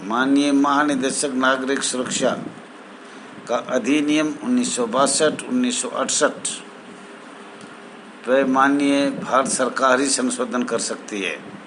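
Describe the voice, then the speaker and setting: Male, mid-pitched, slow and halting association spokesman explaining procedure formally, with clear traffic-like noise behind him. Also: steady tone. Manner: halting, formal honorifics, repeats phrases. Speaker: home guard association spokesman. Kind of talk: informal explanatory address to association members.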